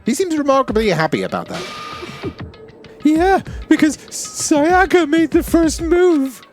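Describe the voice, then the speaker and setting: Animated man playing and commenting on a game. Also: very high-pitched, measured pace, soft background music. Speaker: gaming host. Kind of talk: casual let's play commentary.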